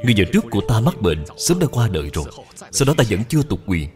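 A man voicing a persuasive young gentleman in a cartoon dub, polite, formal and reassuring. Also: fairly low pitch, sing-song, very fast, music in the background. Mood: courteous, persuasive. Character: courteous, persuasive young gentleman suitor of means.

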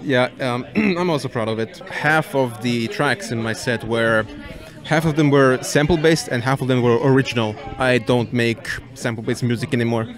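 Young male music producer from Switzerland, average pitch, plain casual interview answers. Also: moderate pace. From Switzerland, of Serbian background. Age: 20s. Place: bar at night. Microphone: handheld microphone.

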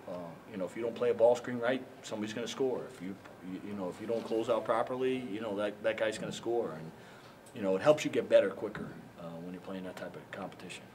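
An older man, a basketball head coach at a postgame press conference, answering reporters haltingly with many fillers and repeated words. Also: on the low side, darkish tone. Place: press room in front of a sponsor backdrop. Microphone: handheld mics held up by reporters. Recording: noisy press room.

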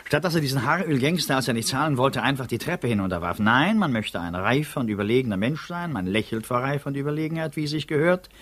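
An actor playing a brooding, ironic public prosecutor in a 1950s German radio drama, speaking in a reflective, measured, philosophizing way. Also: male voice, mid-range voice, lively intonation, fairly bright tone, lightly acted. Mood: bitter, reflective.

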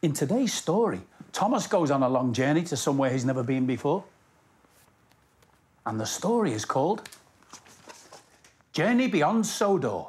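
A man's voice: on the high side, very animated, normal speed, pauses often, a little mellow. A middle-aged man presenting a story time for children, speaking animatedly and directly to young viewers.

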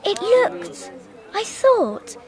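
A woman's voice: very high voice, sing-song, drawn-out words, a little mellow, full character voice. A woman playing a stubborn, observant little girl, insistent and earnest, in a radio drama.

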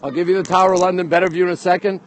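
Man, high voice, excitable repetitive live chat, outdoor phone recording, faint wind noise. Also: rapid-fire. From Montreal, Canada. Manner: excitable, repeats phrases, replies to viewers in short bursts. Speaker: livestream host. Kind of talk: casual live walking tour chat. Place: outdoors on a city bridge by a river. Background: wind and light outdoor noise.

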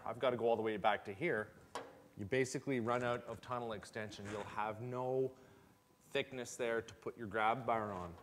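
A man in his forties talks through a hands-on build in a folksy, matter-of-fact way. His pitch is middling for a man. He is recorded in a garage workshop a few metres from the camera, with faint noise and clicks behind him. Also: a bit bright. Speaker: host of a snowmobile build series. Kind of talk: casual how-to workshop talk. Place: indoor garage workshop full of tools.

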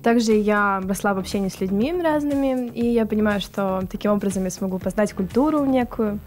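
A young woman answering interview questions in a studio in a calm, plain way. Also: medium pitch, a little fast. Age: young adult. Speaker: student guest. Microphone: desk microphone.

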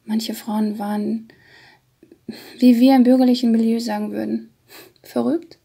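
Woman, higher-pitched, very breathy half-whisper, creaky, literary audiobook narration. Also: little pitch movement, a little slow, warm tone. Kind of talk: hushed scripted literary narration.

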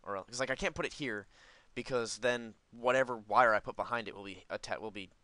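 A man's voice: medium pitch, brisk, sharp tone, dry recording. A man giving casual, unscripted gameplay commentary, rambling as he thinks aloud. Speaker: gaming video host.

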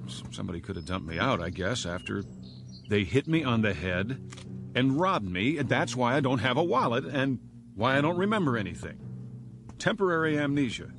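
An actor playing a bewildered man without memory in a radio drama, halting, stammering and questioning himself as he rambles. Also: mid-range voice. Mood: uneasy, searching. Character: bewildered amnesiac man, rambling and nervously chatty. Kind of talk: radio drama first-person monologue.

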